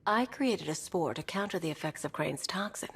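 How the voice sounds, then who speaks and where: A woman playing an aloof, seductive plant villainess in a video game, sly and sardonic, with a husky, breathy voice. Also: fairly low pitch, animated, moderate pace. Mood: cool, sly. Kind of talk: video game cutscene acting.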